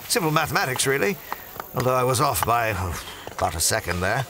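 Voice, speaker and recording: An actor playing a cocky, flippant adventurer-archaeologist in an audio drama, with a breezy, teasing, self-assured way of talking. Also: male voice, medium pitch, sing-song, a little fast, noisy background, close to an everyday voice. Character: cocky, flippant male adventurer-archaeologist, wry skeptic of legends. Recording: loud cinematic music behind the voice.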